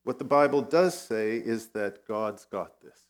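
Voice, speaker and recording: A man's voice: fairly low pitch, expressive, a little slow. Man preaching a casual storytelling sermon. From Vietnam. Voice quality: occasionally clears throat. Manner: conversational, self-deprecating storytelling. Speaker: guest pastor.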